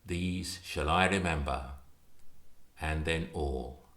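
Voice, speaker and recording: A man reading poetry aloud in a measured, expressive, declamatory manner. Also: extremely low pitch. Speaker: poetry reader. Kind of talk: introduced dramatic poetry recitation.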